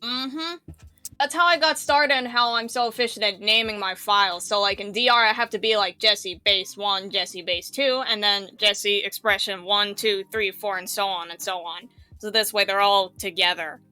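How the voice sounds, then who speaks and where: A woman's voice: medium pitch, steady tone, moderate pace, pushed and bright, no room sound. A woman chatting casually as co-presenter of a tutorial, explaining things in an encouraging way. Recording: music playing behind.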